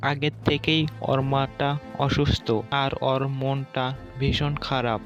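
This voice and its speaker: A man's voice: mid-range voice, completely flat delivery, slow pace, soft background music, natural voice. A man voicing a protective, stern father in a cartoon, emphatic, in slightly muffled sound. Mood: angry, warning. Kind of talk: cartoon voice acting.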